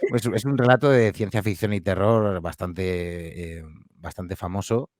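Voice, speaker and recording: A man, a podcast guest, telling anecdotes in an animated, joking way. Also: deep voice, measured pace, no echo, clean studio sound. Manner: animated storyteller, jokey asides. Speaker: podcast guest, horror audio fiction creator. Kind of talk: casual interview chat.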